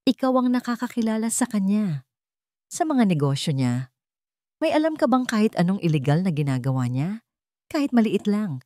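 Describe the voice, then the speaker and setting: A woman storyteller voicing a determined, guarded businesswoman in a romantic drama, measured and earnest. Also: mid-range voice, big pitch swings, mellow, no room sound, voice pushed up, close to an everyday voice. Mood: determined, plotting.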